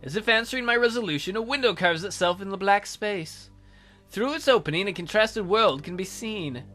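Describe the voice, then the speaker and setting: A man's voice: high voice, moderate pace, sharp tone, soft background music. A man reading a visual novel aloud theatrically as a let's play host, with casual, jokey asides.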